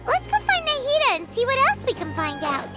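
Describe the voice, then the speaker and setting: A woman voicing a tiny, chirpy, childlike fairy companion in a video game, excitable, curious and chatty. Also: very high voice, fairly quick, soft background music, heavily acted. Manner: exclamatory, chatty.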